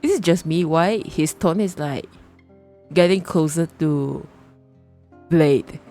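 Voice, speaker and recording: A woman's voice: sits low, takes it slowly, warm tone, soft background music. A woman livestreamer giving casual commentary on a game, often repeating and rephrasing her thoughts.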